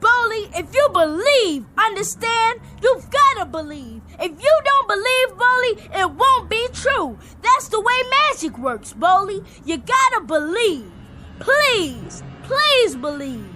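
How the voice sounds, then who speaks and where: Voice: extremely high pitch, very animated, takes it slowly, exaggerated voice. A child actor playing an earnest young boy who pleads in a plain-spoken way, in vintage television drama. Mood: pleading.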